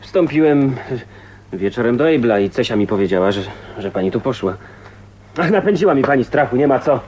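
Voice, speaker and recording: An actor playing a gruff, chivalrous maverick in a radio drama, talking in a blunt, wry, colloquial way. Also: male voice, mid-range voice, animated, brisk, a little mellow, muffled recording, a little hiss or hum, natural voice. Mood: relieved, wry. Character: gruff, casual, chivalrous maverick man, unbothered by reputation.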